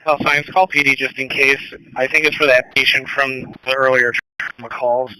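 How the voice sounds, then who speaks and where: A man's voice: mid-range voice, flat delivery, moderate pace, pushed and bright, slight background noise, poor audio. A man responding in the field, speaking matter-of-factly over a two-way radio. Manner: matter-of-fact. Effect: two-way radio transmission. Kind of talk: radio traffic.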